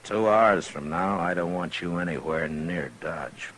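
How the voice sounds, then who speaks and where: A man's voice: sits low, measured pace, a bit bright. A man playing a stern frontier marshal in an old-time radio western, on a hissy old recording. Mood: stern, cold.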